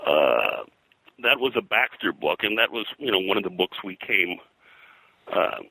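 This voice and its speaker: A man's voice: low-pitched, big pitch swings, a little slow, vocal fry, pushed and bright, dry recording, low-quality recording. An older man reminiscing as an interview guest, explaining things in a discursive way and often breaking off to rephrase. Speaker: interview guest, veteran comic book colorist.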